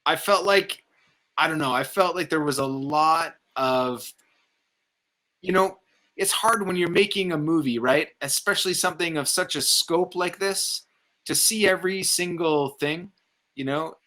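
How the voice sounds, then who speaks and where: A man's voice: on the high side, measured pace, pushed and bright. A man talking casually about movies, opinionated and rambling, with some swearing. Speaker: film review show panelist.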